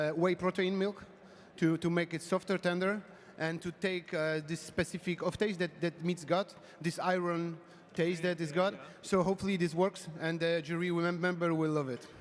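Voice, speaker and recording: Male coach, friendly answers, high voice, reverberant hall. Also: steady tone, moderate pace. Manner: friendly, non-native phrasing. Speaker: competition team coach. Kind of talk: interview answers. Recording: long echo of a big hall.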